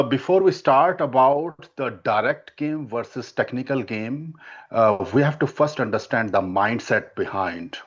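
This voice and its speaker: A man with an Indian accent, a native Hindi speaker, makes his case in an online debate. He stops and restarts often, filling gaps with uh. His voice comes through a dull, lo-fi mic. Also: medium pitch. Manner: halting, many restarts and fillers. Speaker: dating coach.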